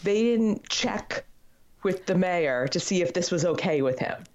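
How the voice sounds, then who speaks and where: A woman's voice: fairly low pitch, animated, unhurried, darkish tone. A woman with a Midwestern accent co-hosting a podcast, chatting and asking her co-host questions. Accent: American Midwestern. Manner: conversational, asks questions, repeats for emphasis. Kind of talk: casual chat. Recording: dull lo-fi sound.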